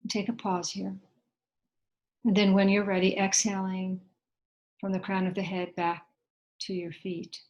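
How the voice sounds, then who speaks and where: A woman leading a guided meditation in a gentle, unhurried way, leaving long pauses between short instructions. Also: mid-range voice, level tone, highs cut off.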